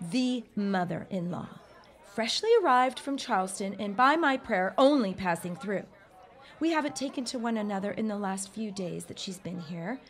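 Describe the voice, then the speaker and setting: A woman's voice: mid-range voice, normal speed, natural voice. A woman playing an anxious, talkative 1910s bride-to-be in a period audio drama, wry and exasperated. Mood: indignant. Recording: party crowd chatter behind.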